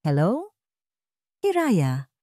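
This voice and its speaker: A woman's voice: medium pitch, huge pitch swings, drawn-out words, warm tone, no echo, studio quality, heavily acted. A woman voicing an intense, commanding billionaire man in an audio love story, speaking emphatically. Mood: remorseful, pleading. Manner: emphatic, accusing, insistent. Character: stern, commanding billionaire man, remorseful and pleading later.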